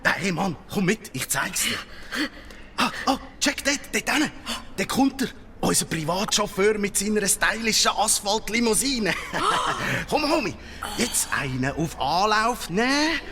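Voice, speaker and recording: A brash, streetwise cockroach from a kids' radio play, talking Swiss German in cool hip-hop slang. The delivery is unhurried and swoops up and down wildly, over faint ambient noise. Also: mid-range voice, lively intonation, pushed and bright. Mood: eager. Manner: slangy hip-hop talk sprinkled with English. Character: cocky streetwise cockroach, brash big-city daredevil with a kind streak. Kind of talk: children's radio play acting.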